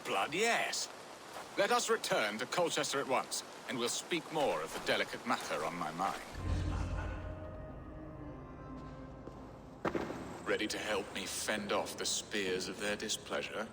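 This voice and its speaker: An actor playing a foppish, theatrical middle-aged lord who speaks in florid, pompous phrases in a video game. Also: male voice, on the low side, lively intonation, moderate pace, natural voice. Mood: playful, flowery. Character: foppish, flowery middle-aged English lord, easily flustered. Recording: reverberant hall, faint background noise.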